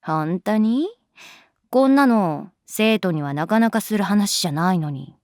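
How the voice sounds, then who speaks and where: Laid-back, teasing teacher, mid-pitched. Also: female voice, animated, unhurried, darkish tone, dry recording, clean studio sound. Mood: puzzled, gentle. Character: cool, teasing, caring adult female teacher. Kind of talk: Japanese voice drama acting, conversational.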